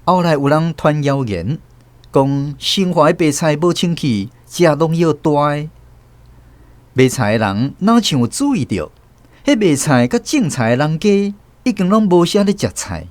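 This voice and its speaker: A man narrating a radio drama as host, with expressive storytelling. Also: a little high, measured pace, dry recording.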